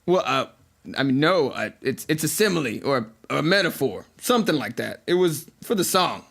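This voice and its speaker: An actor playing a cocky, theatrical vampire rock star, telling boastful and flippant stories in a podcast drama. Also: male voice, a little high, animated, moderate pace, vocal fry, a bit bright, heavily acted. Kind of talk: fiction podcast acting, mock interview. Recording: music playing behind the voice.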